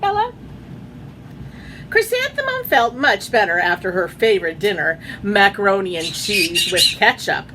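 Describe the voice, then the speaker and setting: A woman's voice: mid-range voice, fairly bright tone, a little hiss or hum, rough recording. A woman reading a children's picture book aloud at home, in a sing-song storybook way, chatting to her pet birds between pages.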